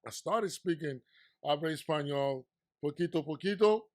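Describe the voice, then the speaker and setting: A man with a New York accent hosting a casual interview, hyped up one moment and low and confiding the next. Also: normal speed. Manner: animated, hype, swings between loud excitement and low confiding tone. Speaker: podcast host and DJ.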